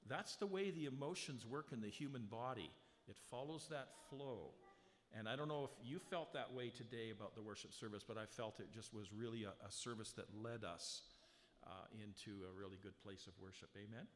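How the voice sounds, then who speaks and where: Strong-voiced male pastor in a reverberant church. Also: lowish voice. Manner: conversational preaching with rhetorical questions; slow, emphatic scripture reading. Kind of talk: sermon. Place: church sanctuary. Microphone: handheld or stand mic, sound adjusted live. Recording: long hall reverb.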